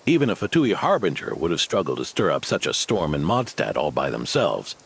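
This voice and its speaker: An old knight from a video game, weathered and solemn with a wry streak. The voice is very deep and gravelly, moving expressively, over faint music. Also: male voice, huge pitch swings, fairly quick, exaggerated voice.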